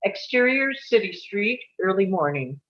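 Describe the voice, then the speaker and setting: A woman's voice: medium pitch, very animated, measured pace, fairly bright tone. A woman reading scripted stage directions as the narrator of a table read, on dull lo-fi audio.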